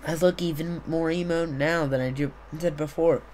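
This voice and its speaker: Rambling young man, slightly hoarse. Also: a little high, fairly even intonation, normal speed, a little mellow, a little hiss or hum. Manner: rambling, meandering, many fillers. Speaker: streamer. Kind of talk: casual live-stream chat. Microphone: desktop USB mic on a boom arm.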